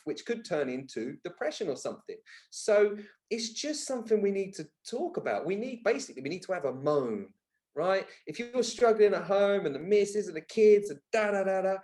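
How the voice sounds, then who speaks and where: A man's voice: high-pitched, warm tone. A man with an English accent chatting casually as a podcast guest, blunt, colloquial and emphatic. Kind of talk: casual conversational interview talk.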